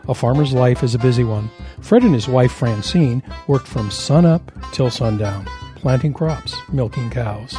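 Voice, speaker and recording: A man's voice: mid-range voice, lively intonation, measured pace, hardly any pauses, dark tone. A man reading scripted storybook narration as the narrator of an audio drama. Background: acoustic guitar music.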